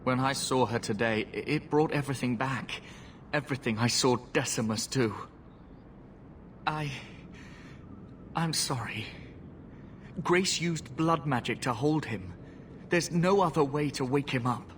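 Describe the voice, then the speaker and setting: A man's voice: mid-range voice, unhurried, pauses often, fairly bright tone, a little hiss or hum, lightly acted. A man playing a kindhearted, remorseful young mage in a video game, hesitant and earnest. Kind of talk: dramatic video game acting.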